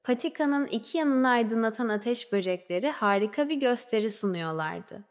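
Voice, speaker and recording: A woman narrating a scripted children's fairy tale in a measured storybook way, letting phrases trail off softly. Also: on the high side, expressive. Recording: long reverberant hall-like echo.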